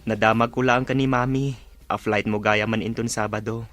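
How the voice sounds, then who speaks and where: A man's voice: on the low side, steady tone, normal speed, fairly bright tone, soft background music, lightly acted. A man with an Ilocano accent playing a remorseful, polite young father and son in a drama. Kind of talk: Ilocano comedy drama voice acting.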